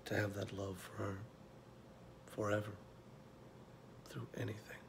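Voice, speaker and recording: Man acting weary mentor, low and measured. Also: completely flat delivery, creaky, background noise. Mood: tender, aching. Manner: measured, pausing, drops to near whisper. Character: weary older male mentor, tender, wounded, quietly confronting. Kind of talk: slow dramatic film monologue audition.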